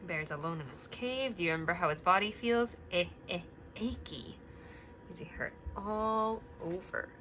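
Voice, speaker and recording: Gentle sing-song woman teacher. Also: lowish voice, animated, normal speed, a little hiss or hum. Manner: gentle, sing-song, asks many questions. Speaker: teacher reading to children. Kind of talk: read-aloud lesson for young children.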